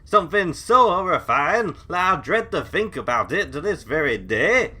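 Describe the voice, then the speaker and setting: A man's voice: on the high side, big pitch swings, normal speed, sharp tone, husky, exaggerated voice. A British man acting an eccentric, paranoid hermit who lives in a bunker in a comedy audio drama, theatrical and blustering as he rambles. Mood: haunted, dramatic.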